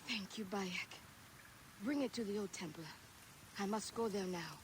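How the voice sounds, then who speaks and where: Steadfast village healer woman, acted, mid-pitched. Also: measured pace, heavy background noise, natural voice. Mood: earnest, troubled. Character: kindly, steadfast female village healer in ancient Egypt. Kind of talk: video game cutscene acting.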